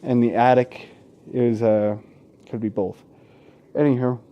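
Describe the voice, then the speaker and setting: A man's voice: medium pitch, level tone, measured pace, highs cut off. A man hosting a let's play in an informal, sweary way, mixing casual gaming commentary and asides with game text read aloud.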